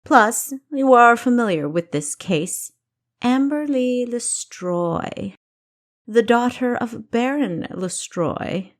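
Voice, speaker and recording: A woman voicing a wicked, commanding king in an audiobook, mocking and deliberate in the way he speaks. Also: a little high, animated, pitched above the performer's own voice. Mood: imperious. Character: wicked, commanding king, male, menacing and teasing. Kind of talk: audiobook character dialogue.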